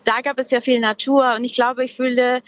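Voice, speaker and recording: A young woman from Peru, speaking German in a relaxed interview over a video call, reflective and hesitant, with many pauses and fillers. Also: fairly high pitch. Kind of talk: casual conversation. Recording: dull lo-fi video call audio.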